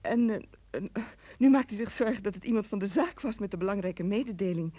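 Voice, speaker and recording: An actress playing a guarded wife on the telephone in a radio drama, husky, polite and hesitant. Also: female voice, mid-range voice, fairly quick, dark tone, dry recording, close to an everyday voice. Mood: nervous, evasive.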